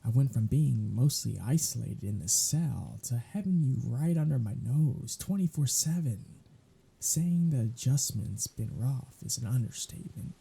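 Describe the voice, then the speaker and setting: Man acting a husky, dark-voiced sardonic vampire. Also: mid-range voice, expressive, moderate pace, heavily acted. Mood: weary, subdued. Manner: sarcastic, flippant, conversational. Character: sardonic, weary male vampire talking to a hunter. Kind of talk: ASMR audio roleplay acting.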